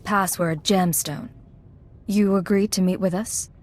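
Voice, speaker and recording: A woman playing a composed undercover Imperial agent in a video game, measured and businesslike. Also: mid-range voice, fairly even intonation, natural voice. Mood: firm.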